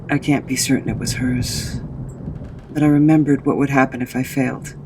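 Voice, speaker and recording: A man's voice: medium pitch, little pitch movement, normal speed, darkish tone, a little hiss or hum, natural voice. A man playing a guilt-ridden man who recounts his tragic past in a brooding, remorseful dramatic monologue. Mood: grim, tense. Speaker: narrator of own backstory.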